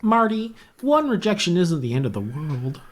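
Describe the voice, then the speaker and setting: Woman playing a lively, earnest teenage girlfriend. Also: fairly low pitch, moderate pace. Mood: encouraging. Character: supportive seventeen-year-old girlfriend, encouraging and earnest. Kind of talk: script table read.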